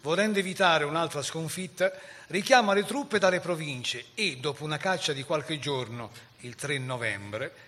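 A man's voice: medium pitch, sharp tone. A man reading scripted historical narration for radio theatre in a formal, declamatory manner.

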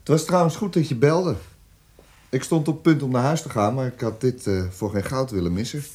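A man acting in a radio drama as a cold, methodical police interrogator with a measured, official way of talking. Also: mid-range voice, a little mellow, breathy edge, close to an everyday voice. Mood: satisfied, relaxed. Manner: measured, insistent, official questioning. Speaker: actor.

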